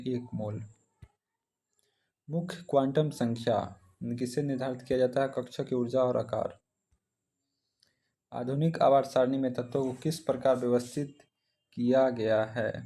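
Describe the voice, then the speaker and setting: Mellow-voiced Indian man reading quiz questions. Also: medium pitch. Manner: instructional, question then short answer. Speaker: exam coaching teacher. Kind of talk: reading practice quiz questions and giving answers.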